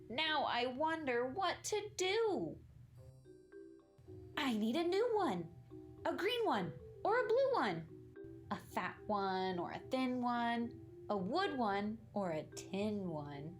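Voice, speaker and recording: A woman's voice: sits high, pitched above the performer's own voice, full character voice. A woman voicing a dramatic, imaginative young boy in a rhyming picture book, with exaggerated sing-song delivery. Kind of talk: animated storybook read-aloud.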